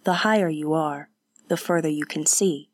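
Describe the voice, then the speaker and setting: A woman voicing an awkward, earnest young male warrior who speaks haltingly and often drops to a whisper. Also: deep voice, dry recording.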